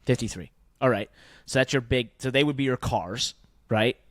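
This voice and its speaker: A man speaks as a podcast co-host in a casual sports business discussion. His voice sits at an average pitch, and he explains things conversationally, posing questions as he goes. The sound is very dry and close. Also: moderate pace, dry recording.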